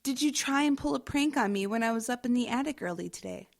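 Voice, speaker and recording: A woman's voice: on the high side, animated, vocal fry. An actress playing a shaken, earnest young woman new to a radio theater, stammering in naturalistic drama style. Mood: accusing. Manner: halting, stammering, upset. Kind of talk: naturalistic audio drama acting.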